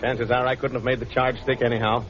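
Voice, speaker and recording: An actor playing a dogged, wry police inspector in a 1940s radio drama, brisk and clipped. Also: male voice, mid-range voice, little pitch movement, a bit bright, natural voice. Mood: wry, businesslike. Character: dogged, wry male police inspector hunting his nemesis. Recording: dull, noisy vintage radio recording.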